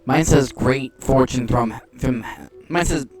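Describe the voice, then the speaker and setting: A man's voice: medium pitch, slow, soft background music. A man giving casual gameplay commentary and reading dialogue choices aloud.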